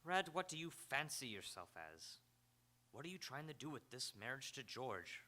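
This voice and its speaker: A man's voice: medium pitch, big pitch swings, normal speed, bright, forceful tone. A man playing a suave, needling ex-husband in live radio theatre, dry and taunting with firm emphasis. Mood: pointed, earnest. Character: sardonic, needling, suave ex-husband, reformed drinker. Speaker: stage radio actor. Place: live on an auditorium stage.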